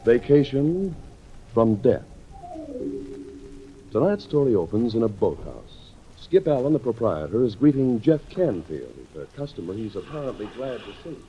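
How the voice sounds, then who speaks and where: A man's voice: medium pitch, very animated, moderate pace. A man announcing a scene in scripted 1940s radio style. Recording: muffled noisy 1940s radio recording.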